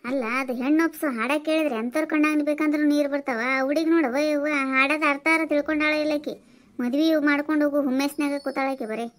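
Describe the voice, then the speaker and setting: Woman acting as fussy sentimental wife at a wedding, really high voice, north karnataka accent, chatty and plaintive. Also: fairly even intonation, fast, close to an everyday voice. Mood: indignant, plaintive. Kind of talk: family skit dialogue.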